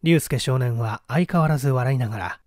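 A man's voice: medium pitch, brisk, a little mellow, no room sound, studio quality. A man narrating a mystery story from a script as an audiobook reader.

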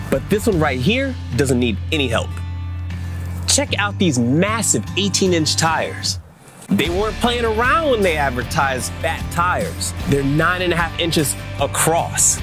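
A man narrating a scripted product review in a casual, slangy, enthusiastic way, as a channel presenter would. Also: on the high side. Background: music bed with guitar.

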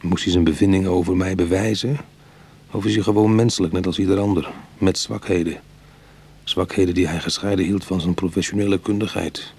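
A retired cat burglar in a radio drama, proud and wry. His middle-aged voice sits fairly low and has a husky grain. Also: steady tone, a little fast, a little mellow, heavily acted. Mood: brooding, wry. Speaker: actor and narrator in a radio play. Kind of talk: Dutch radio drama acting.

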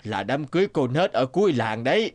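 A man's voice: mid-range voice, big pitch swings, fairly quick, close to an everyday voice. A man playing a gossipy elderly villager in a cartoon, with a blunt, folksy, chatty manner. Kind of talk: dubbed cartoon acting.

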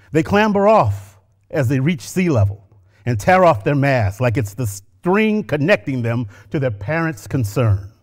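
Middle-aged male actor, on-stage story reading at a lectern, measured and expressive. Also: medium pitch. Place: stage with orange curtains. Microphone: lectern microphone.

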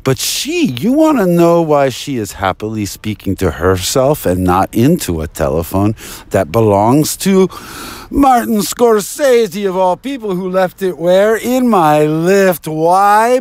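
Male, slow and theatrical, taunting delivery with big pitch swings, rambling mock phone-call monologue. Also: very animated, darkish tone, no echo. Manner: theatrical, taunting, with drawn-out words and repetitions.

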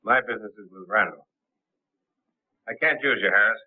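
Hard-nosed club boss, husky, terse, suspicious; boxy 1930s movie soundtrack. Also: male voice, on the low side, moderate pace. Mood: curt, guarded. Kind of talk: 1930s film acting. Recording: muffled, tinny 1930s film soundtrack.

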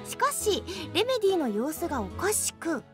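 Very high-pitched woman hosting over music. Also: big pitch swings, normal speed. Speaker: radio show host. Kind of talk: casual chat and reading game announcements. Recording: music behind the voice. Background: background music.

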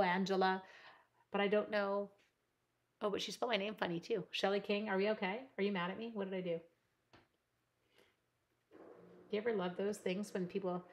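A woman from Canada hosting a live card-making stream, chatting and reading comments while she cuts. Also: mid-range voice. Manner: rambling, animated, reads chat comments aloud. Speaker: card-making livestream host. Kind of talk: chatty live crafting demo. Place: craft room at a desk.

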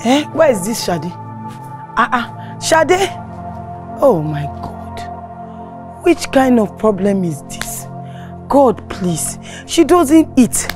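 A woman's voice: a little high, very animated, extremely slow, pauses often, over music, heavily acted. A woman playing a tearful pleading Nigerian mother. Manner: pleading, repeating herself. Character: distraught mother begging her silent daughter to eat. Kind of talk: comedy skit acting.

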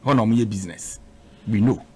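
A man's voice: on the low side, fast talker, warm tone, slightly rough. A middle-aged Ghanaian man arguing insistently as a panelist in a studio radio debate, mixing English and Twi. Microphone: studio microphone on a boom.

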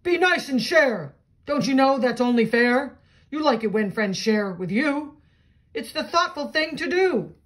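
An old storybook oak tree , acted out by a woman, stern and insistent. It issues its orders slowly and loudly, leaning firmly on each word. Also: high voice, animated, pitched above the performer's own voice. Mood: exasperated yelling. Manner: slow, loud, emphatic commands. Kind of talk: children's storybook read-aloud.

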